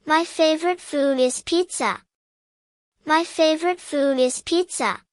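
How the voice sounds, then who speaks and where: High-pitched woman, slow English lesson narration. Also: dry recording, studio quality. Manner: careful, evenly measured, simple phrases. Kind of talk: scripted beginner language drill, each sentence repeated.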